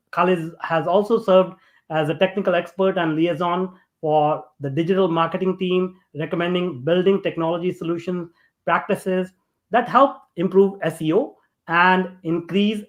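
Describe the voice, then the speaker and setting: A man's voice: high-pitched, steady tone, normal speed. A man hosting an interview show, reading a formal guest introduction and then asking casual questions. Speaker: podcast host.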